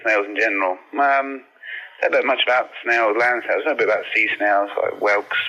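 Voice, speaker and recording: A man's voice: on the low side, fairly even intonation, brisk, sharp tone. A man giving matter-of-fact, slightly bemused answers in a casual interview over the telephone. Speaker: phone-in guest, aquarium worker. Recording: noisy phone call on radio.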